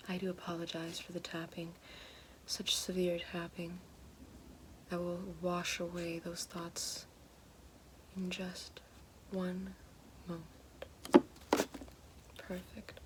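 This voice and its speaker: A calm witch voiced by a woman whispers close to the mic in a low, flat, unhurried hush, handing out gentle praise again and again. A steady hiss sits clearly behind her voice. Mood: soothing. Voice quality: whispered, soft. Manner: slow soothing, repeats praise. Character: calm gentle female witch guiding elements. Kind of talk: ASMR roleplay. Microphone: close binaural-style mic.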